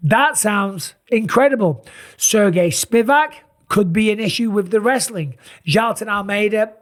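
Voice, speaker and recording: A middle-aged man with a raspy, sore voice, tired and talking in an animated, casual way as he breaks down fight matchups. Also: high-pitched, unhurried. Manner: animated, emphatic, rambling asides. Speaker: MMA analyst and channel host. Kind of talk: casual fight commentary to camera.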